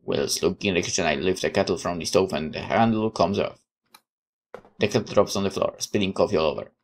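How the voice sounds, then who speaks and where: A woman's voice: extremely low pitch, a little fast. A woman reading a visual novel aloud expressively, with casual commentary as she plays.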